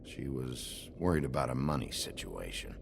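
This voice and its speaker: A man playing a gruff, blunt, sardonic sheriff in a video game, with a gravelly growl and a terse, dry delivery. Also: rumbling low, expressive, normal speed, slight background noise, full character voice. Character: gruff, blunt, sardonic sheriff, a reformed big bad wolf. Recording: loud music under the voice.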